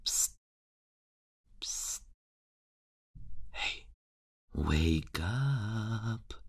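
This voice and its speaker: A man playing a whispering raspy serial killer. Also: a bass voice, exaggerated voice. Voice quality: hushed, raspy and menacing. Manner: taunting, slow, calls the listener dear. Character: creepy soft-spoken male serial killer. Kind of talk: ASMR horror roleplay.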